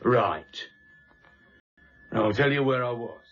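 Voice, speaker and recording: A surly British suspect in a radio drama, combative and evasive. His voice sits at an ordinary male pitch and is blunt in delivery. The sound is slightly muffled, with faint music underneath. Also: lively intonation, slow, gravelly. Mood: guarded. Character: defiant, surly married male murder suspect. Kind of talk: naturalistic radio drama acting.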